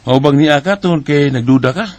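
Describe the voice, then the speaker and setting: Actor as smug, flirtatious Bisaya man, highish voice. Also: a little fast, no echo, close to an everyday voice. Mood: teasing. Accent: Cebuano Bisaya Filipino. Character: smug, teasing, flirtatious male workmate. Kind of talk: Bisaya radio drama acting.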